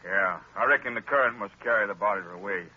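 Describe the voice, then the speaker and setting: Plain-talking small town sheriff, slightly low voice, dull old radio sound. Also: male voice, quick pace, breathy edge. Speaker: rural sheriff. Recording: lo-fi 1930s radio broadcast.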